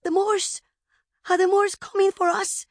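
A woman voicing a frightened, imperious little princess in an audiobook, pleading and shrill. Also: flat delivery, a little slow, dry recording, studio quality. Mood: terrified. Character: terrified, imperious little princess girl, wailing and spiteful.

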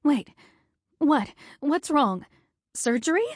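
A woman doing a frantic, high-pitched wife. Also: expressive, slow, no room sound, clean studio sound. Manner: high, breathless, urgent pleading. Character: frantic middle-aged wife, anxious and pleading.

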